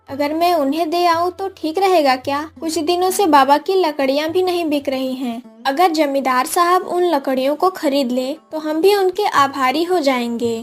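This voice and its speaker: कार्टून कहानी में गरीब लकड़हारे की विनम्र, ज़िम्मेदार जवान बेटी का किरदार निभाती एक महिला।. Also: female voice, sits high, natural voice. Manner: polite, earnest. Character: dutiful, polite young village daughter of poor woodcutter. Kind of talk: cartoon moral story acting.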